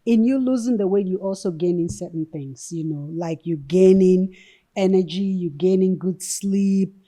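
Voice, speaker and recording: A woman's voice: lowish voice, normal speed, mellow. A middle-aged woman from Cameroon, a nurse practitioner speaking earnestly as a podcast guest, with lots of "you know" and "um". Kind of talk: podcast interview.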